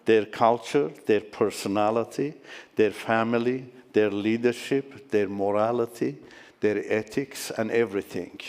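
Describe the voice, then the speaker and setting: A middle-aged man tells his testimony slowly and earnestly to a conference crowd. His voice is smooth and resonant. It rings out through a large hall with long reverb. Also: fairly low pitch. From Iran. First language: Turkish. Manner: earnest storytelling with restarts, excited in tense moments. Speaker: conference speaker. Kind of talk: personal testimony talk.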